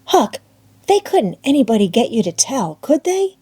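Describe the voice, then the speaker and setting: An earnest, worried young boy from a storybook, played by a woman narrator. The voice lifts high with eager questions and speaks in folksy rustic dialect, in a soft-toned, dry recording. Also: very animated, moderate pace, breathy edge, dry recording. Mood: nervous. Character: Tom Sawyer, anxious, earnest young boy.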